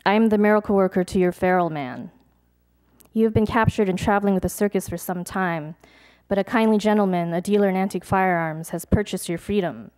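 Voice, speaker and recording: A young adult woman reads her own poems to a live audience, pacing the lines with measured, deliberate care. Between poems she slips into loose, hesitant asides. Her pitch sits in an ordinary middle range. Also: a little mellow. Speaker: poet. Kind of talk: poetry reading with casual asides to an audience. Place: live reading event before an audience.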